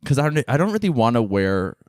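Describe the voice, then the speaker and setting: A man's voice: medium pitch, very animated, fast talker, darkish tone, no room sound, studio quality. A tired man co-hosting a podcast, rambling playfully in casual comedic chat and trailing off mid-thought. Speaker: podcast co-host.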